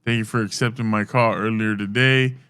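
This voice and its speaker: A man's voice: fairly low pitch, steady tone, a little fast, a bit bright, clean tone. A man co-hosting casual sports talk with a blunt, emphatic, slangy delivery. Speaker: podcast co-host.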